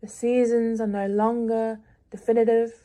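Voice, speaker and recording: A young woman reading her own lyrical prose aloud in a measured, reflective way with long pauses. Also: on the high side, level tone, dark tone. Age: young adult. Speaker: prize-winning young writer.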